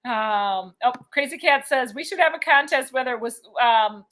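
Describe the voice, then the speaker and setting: A woman's voice: sits high, lively intonation, moderate pace, fairly bright tone. A middle-aged woman hosting a casual cruise live stream, chatting, laughing often and reading out viewer comments. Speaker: cruise vlog host.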